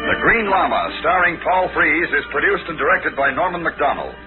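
A male announcer in the style of 1940s radio, with a fairly high voice. The recording is thin and narrow, and music plays clearly underneath. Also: animated, brisk. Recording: tinny old radio recording. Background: dramatic program music.

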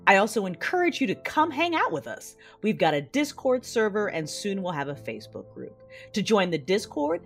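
A woman podcast host with a low voice reads scripted announcements and credits. Soft music plays behind her.

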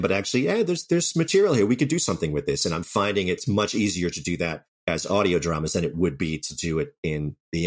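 A man talking casually and enthusiastically as an interview guest, a writer and director who acts out bits of remembered dialogue. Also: deep voice.

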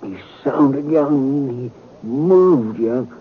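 An actor playing a badly wounded man in a 1950s Western radio drama, speaking in halting, air-filled breaths with bewildered grievance. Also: mid-range voice, animated, phone-quality sound. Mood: weak, gasping. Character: wounded frontier robbery victim, bewildered and aggrieved, gasping. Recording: thin, noisy 1950s radio recording.

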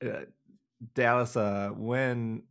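A man's voice: mid-range voice, steady tone, extremely slow, a bit bright. A man with a scratchy voice hosting a casual podcast, self-deprecating and stumbling over restarts. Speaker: podcast host. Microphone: home podcast microphone.

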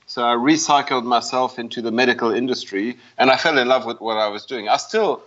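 A man's voice: medium pitch, brisk, fairly bright tone. A middle-aged man from South Africa telling his story as an interview guest in a chatty, self-deprecating way. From South Africa, after a childhood moving between countries. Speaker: interview guest, medical company CEO. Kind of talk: conversational storytelling. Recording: slightly dull, lo-fi connection.

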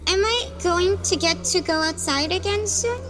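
An actress playing a sick, starving little child in an audio drama, weak and pleading in halting phrases. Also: female voice, extremely high pitch. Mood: weak, suffering.

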